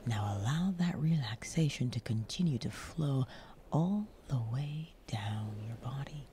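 A woman guiding a sleep meditation in a soothing, breathy voice that often drops near a whisper, with unhurried pauses between short instructions. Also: very deep voice, expressive, mellow, a little hiss or hum. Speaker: guided meditation host.

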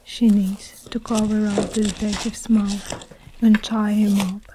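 A young woman narrating an ASMR story in a hushed, deliberate way, often whispering, close on a lapel mic. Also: medium pitch, level tone, noisy background. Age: young adult. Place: small indoor room with curtains.

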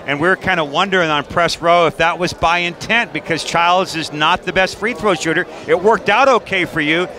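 A middle-aged man hosts a post-game sports interview in a conversational way. His voice is somewhat high for a man. He talks through a headset at courtside, and crowd noise is clearly heard around him. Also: moderate pace, few pauses. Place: courtside in an indoor arena. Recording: crowd noise in busy arena.

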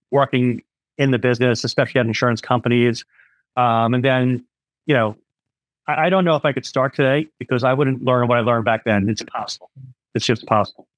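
A man's voice: mid-range voice, no room sound. Middle-aged man explaining insurance, dry sound. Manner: frank, with frequent "um" and "you know". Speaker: independent insurance agent, guest. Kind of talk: explanatory interview talk.

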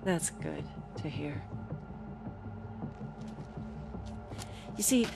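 A woman playing a cold middle-aged government commander in a video game, measured, condescending and quietly threatening. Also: low voice, expressive, vocal fry, over loud music, exaggerated voice. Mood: controlled menace. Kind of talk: video game cutscene acting.

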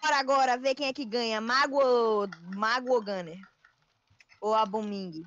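A man's voice: very high-pitched, moderate pace, clear, resonant tone. A young male host casually chatting and teasing friends while playing an online game. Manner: excitable, teasing, sing-song outbursts. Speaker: channel host. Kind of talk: casual gameplay chat. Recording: dull lo-fi voice chat.

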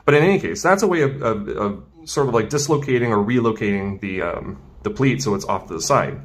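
A man's voice: lowish voice, lively intonation, fairly quick. A man teaching as a tailoring instructor in a casual hands-on demonstration, informal and thinking aloud as he works. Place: indoors at a work table.

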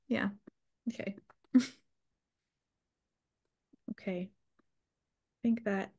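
A woman's voice: medium pitch, vocal fry. A woman co-hosting a live video call in casual chat, speaking hesitantly in trailing phrases. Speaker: writer co-hosting a live online roundtable.

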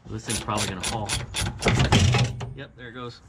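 A young man in a garage at night, casually explaining, step by step, how he lowers his car, picked up by a camera mic on the floor. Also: fairly even intonation, fairly quick. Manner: laid-back, laughs easily, explains step by step. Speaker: car vlogger working on his car. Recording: tool clatter and ratchet noise.

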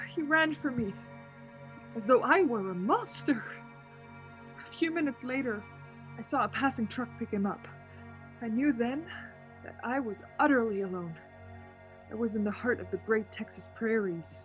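A woman's voice: a little high, measured pace, pauses often, soft background music, close to an everyday voice. An actress playing a frightened, desperate woman driver in a suspense radio play, with dramatic intensity. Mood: shaken, bleak. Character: frightened, increasingly desperate woman driver haunted on a road trip. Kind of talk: suspense radio play acting and first-person narration.

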